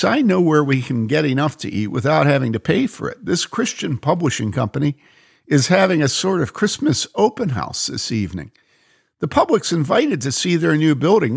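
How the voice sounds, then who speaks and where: A woman's voice: low-pitched, big pitch swings, moderate pace, gravelly, breathy edge, voice pushed up, full character voice. A man voicing a cheerful, outspoken young woman as he reads a story aloud. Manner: bubbly and outspoken.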